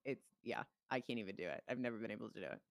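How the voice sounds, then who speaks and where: A man's voice: on the low side, expressive, rapid-fire, vocal fry, no room sound, studio quality. A man from the Czech Republic, a native Czech speaker, bantering informally and animatedly in game roleplay, swearing freely. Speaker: streamer playing a dispatcher in online roleplay.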